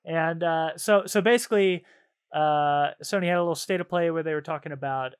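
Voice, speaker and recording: A man's voice: a little high, a little fast, clear, resonant tone. A man hosting a podcast, chatting casually about video games in an informal, slangy, easily amused way. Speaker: podcast host.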